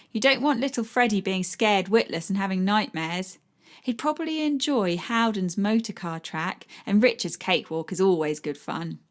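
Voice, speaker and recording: A woman's voice: mid-range voice, close to an everyday voice. Woman doing a chatty, brash fairground girl. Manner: chatty, brash, dialect grammar. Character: cheerful cheeky young fairground woman. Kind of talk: audiobook character voice.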